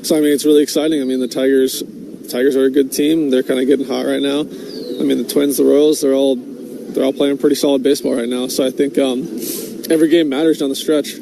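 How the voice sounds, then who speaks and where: A young man in his twenties, a pro baseball pitcher, answering interview questions casually, with many fillers and restarts. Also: little pitch movement, vocal fry, noisy background. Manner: halting, many "I mean" and "I think" fillers.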